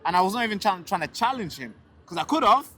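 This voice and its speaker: A man's voice: sits high, very animated, fast, bright, forceful tone. A young man from Tanzania, a street interviewer and show host, talking indignantly outdoors and repeating words when he gets heated. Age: young adult. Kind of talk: casual street talk and direct-to-camera rant. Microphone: handheld camera mic.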